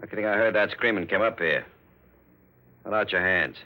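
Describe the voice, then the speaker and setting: An actor playing a gruff, bullying lawman with a curt, folksy drawl in a 1940s radio thriller. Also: lowish voice, normal speed, pushed and bright. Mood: menacing, gloating.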